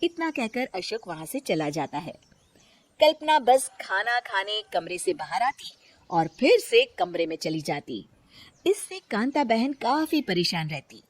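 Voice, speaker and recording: A woman narrating a scripted cartoon moral story in an expressive storytelling style. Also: medium pitch, no room sound. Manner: animated, expressive.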